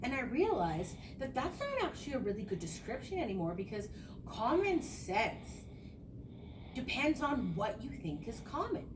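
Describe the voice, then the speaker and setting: A woman's voice: a little high, big pitch swings, normal speed. A young adult woman, a student teacher, giving an earnest, conversational spoken reflection and explaining her ideas. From small rural town. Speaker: education student. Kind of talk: spoken reflective class presentation. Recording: echoey room, distant mic, noisy.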